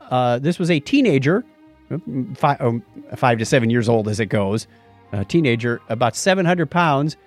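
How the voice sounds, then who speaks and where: A man's voice: mid-range voice, expressive, normal speed, soft background music. A man hosting a podcast, chatting casually and explaining science news in a conversational way. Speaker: podcast host.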